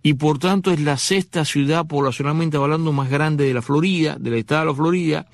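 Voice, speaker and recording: A man's voice: a little high, a little fast, no room sound. A man hosting a radio talk show, talking to the listener in a conversational way, with many fillers and restarts. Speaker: radio host.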